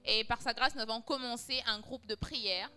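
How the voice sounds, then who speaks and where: A woman interprets a sermon sentence by sentence in a bright, forceful voice. Her pitch sits a little high, and there is faint music behind her. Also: steady tone, moderate pace.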